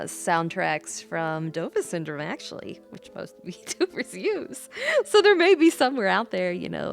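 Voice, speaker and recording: A woman's voice: lowish voice, vocal fry. A woman livestreaming a game as a VTuber, commenting in a playful, theatrical manner. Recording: background music under voice. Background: music.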